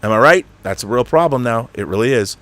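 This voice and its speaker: A man's voice: medium pitch, animated, fast, a bit bright, no room sound. A middle-aged American man hosting a casual livestream talk, opinionated and emphatic, swearing freely and wandering off on tangents. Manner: emphatic, profane, digressive. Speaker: host of a film and book talk livestream. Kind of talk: casual opinionated chat, answering viewer questions.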